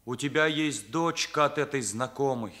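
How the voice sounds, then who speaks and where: A man plays an earnest, morally rigid teenage boy with a bright, forceful edge to his voice. He sits at a middle pitch and speaks emphatically, in the manner of Soviet radio drama. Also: normal speed, lightly acted. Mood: bitter, accusing. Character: earnest, indignant teenage son confronting his parents. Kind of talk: Soviet radio play acting.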